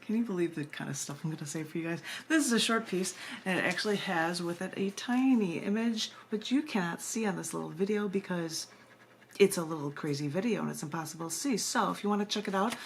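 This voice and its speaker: A woman's voice: on the low side, fast, slight background noise. A woman poet giving a conversational live reading, informal and chatty, with asides. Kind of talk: conversational poetry reading on a livestream. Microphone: compact camera microphone.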